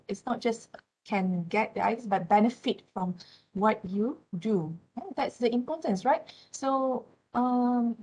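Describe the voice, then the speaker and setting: A woman's voice: on the high side, a little mellow, rough recording. Woman, Malaysian accent, Malay native speaker, university lecturer, classroom talk, many fillers, checks in with "right?". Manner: frequent "uh", tag questions "right?" and "yeah?".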